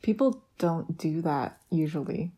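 A teenage girl chatting casually with friends on a podcast while lying on her bed, relaxed and rambling. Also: deep voice, unhurried, husky. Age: teens. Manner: relaxed, rambling, laughs often. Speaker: podcast co-host. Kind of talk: casual chat among friends.

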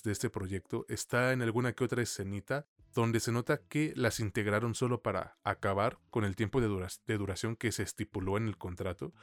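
A man's voice: fairly low pitch, no room sound. A man with a Mexican accent hosting a film review podcast in a colloquial, slangy way. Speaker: podcast host.

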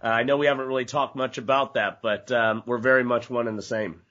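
A man hosts a casual podcast chat in a middle-pitched voice. He talks loosely, with plenty of filler words. The recording sounds a little dull and lo-fi. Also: steady tone, fairly quick, fairly bright tone. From United States. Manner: loose, peppered with "you know" and "uh". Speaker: podcast host.

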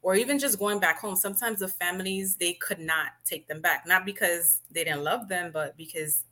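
A woman from Miami speaking as a podcast guest. Her voice is bright and forward, sitting a little low, and she explains things conversationally with composure. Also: moderate pace. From Miami, Florida. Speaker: podcast guest, nurse and business owner. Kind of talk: conversational interview answers.

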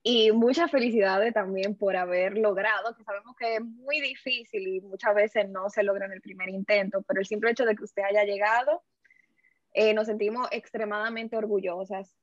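A woman's voice: medium pitch, fairly even intonation, moderate pace, no echo. A woman co-hosting an interview, asking long, polite, formal questions with some hesitation. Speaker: interviewer, co-host. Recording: dull dry lo-fi sound.

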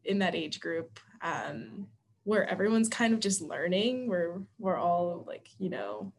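Woman w a lowish gravelly voice, earnest advice on a podcast call. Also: lively intonation, unhurried. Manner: earnest, advice-giving, restarts words. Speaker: admissions staff guest. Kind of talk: casual podcast conversation.

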